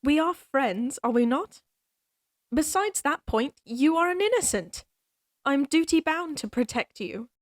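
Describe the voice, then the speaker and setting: A proud young lady knight, acted by a woman speaks straight to the listener in a fantasy audio drama. Her voice sits fairly high, in a very dry recording. She phrases things formally and a touch haughtily, with a teasing, protective streak. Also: expressive, a little slow, dry recording. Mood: earnest, protective. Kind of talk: fantasy audio roleplay acting addressed to the listener.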